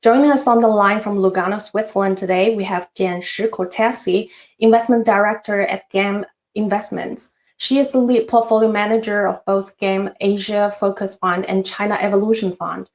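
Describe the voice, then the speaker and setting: A woman's voice: medium pitch, fairly even intonation, moderate pace. A woman hosting a finance webinar, giving a polite, partly scripted introduction with some hesitations. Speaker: webinar host. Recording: roomy echo.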